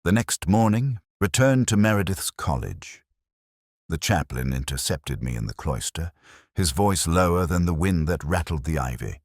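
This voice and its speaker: Male narrator, very low voice with lively intonation, dry studio sound; solemn scripted detective fiction, measured and literary. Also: dry recording. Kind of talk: scripted first-person detective story narration.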